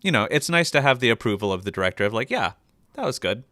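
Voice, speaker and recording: A man's voice: mid-range voice, big pitch swings, quick pace, sharp tone, dry recording, clean studio sound. A man chatting casually and enthusiastically as a sound editor explaining a production process. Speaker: sound editor on an audio drama.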